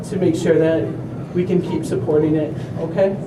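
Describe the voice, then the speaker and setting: A young man giving an earnest, heartfelt speech at a community walk, slightly halting as he speaks. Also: on the high side, fairly even intonation. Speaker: family member speaker at an awareness walk. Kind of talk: prepared speech to a crowd. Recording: echoey, distant, noisy event recording.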